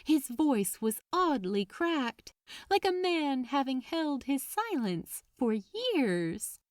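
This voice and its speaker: Lady narrating a story, really expressive, takes her time, dramatic read. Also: sits high, slow, dry recording, clean studio sound. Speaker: audiobook narrator. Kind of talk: dramatic scripted fiction narration.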